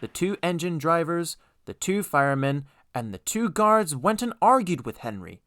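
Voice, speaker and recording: A man narrating a scripted children's story as an audiobook, expressive and deliberate in his storytelling. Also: on the high side, no room sound.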